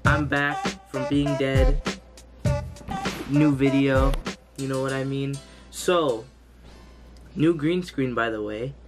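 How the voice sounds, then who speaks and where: A man's voice: mid-range voice, steady tone, slow, pauses often, music in the background. Hyped young man with a stuffy nose. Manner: hyped, slangy, loud exclamations. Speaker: gaming youtuber. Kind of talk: excited gameplay commentary. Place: indoor room, green screen. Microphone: headset, webcam framing.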